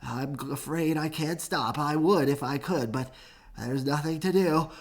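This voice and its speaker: A woman voicing an apologetic, sad storybook elephant who stammers and hesitates. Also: low-pitched. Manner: stammering, halting.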